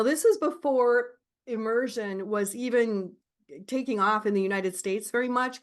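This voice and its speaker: A woman in her forties from Minnesota, hosting a casual chat, animated and encouraging. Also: a little high. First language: English. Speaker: podcast host and Spanish teacher.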